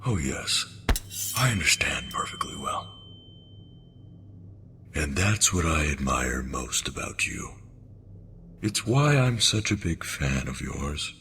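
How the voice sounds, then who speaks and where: Man playing slow, very deep sinister villain. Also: huge pitch swings, pushed and bright, slight background noise, heavily acted. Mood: reverent, menacing. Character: sinister, admiring male villain, twisted surgeon. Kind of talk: motion comic voice acting.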